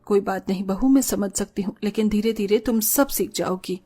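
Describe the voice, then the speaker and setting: A woman acts a middle-aged cartoon mother-in-law with a medium-pitched voice. She is patient yet exasperated, scolding gently as she tries to reason with the family. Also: moderate pace, soft background music, close to an everyday voice.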